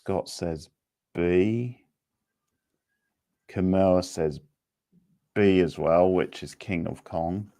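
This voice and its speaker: A man works as the host of a casual quiz about video games. His voice sits low and he takes his time, setting out each option and answer deliberately. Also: mellow.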